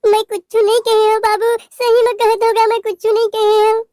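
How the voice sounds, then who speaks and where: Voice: extremely high pitch, completely flat delivery, moderate pace, mellow, no echo. A performer voicing a meek young boy, the younger brother-in-law, who pleads timidly in a Chhattisgarhi accent in a cartoon. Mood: pleading.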